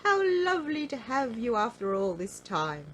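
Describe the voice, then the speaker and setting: A woman voicing a jolly, welcoming, greedy gentleman in a children's storybook, with hearty, drawn-out emphasis. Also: a little high, sing-song, slight background noise, pitched above the performer's own voice. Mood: jovial welcome.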